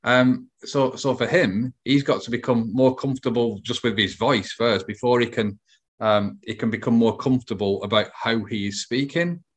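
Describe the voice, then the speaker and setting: A middle-aged man with a medium-pitched voice hosts a casual interview. He speaks tentatively, repeating words while he works out what he wants to say. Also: moderate pace.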